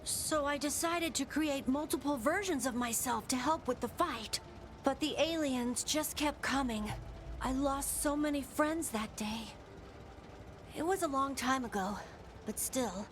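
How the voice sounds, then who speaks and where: A woman voicing a gentle, wistful male creature in a video game, with a soft, lilting voice. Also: high-pitched, a little slow. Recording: game audio with clear background noise.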